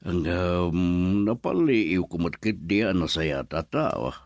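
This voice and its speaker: A man's voice: low-pitched, big pitch swings, a little slow, dry recording, studio quality, exaggerated voice. A man with an Ilocano Filipino accent acting in a radio drama as a caring, observant middle-aged father of a grown daughter. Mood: concerned.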